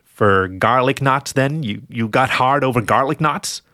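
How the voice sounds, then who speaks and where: A man's voice: mid-range voice, measured pace, dry recording. A man voicing a tired, exasperated male jackal with a dry, sarcastic way of questioning in an audio story. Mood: incredulous. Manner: weary, deadpan questioning.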